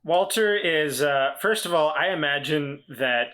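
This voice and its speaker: A man's voice: on the high side, lively intonation, measured pace, sharp tone, clear, resonant tone. A man chatting casually as a player in a tabletop game, describing what his character does.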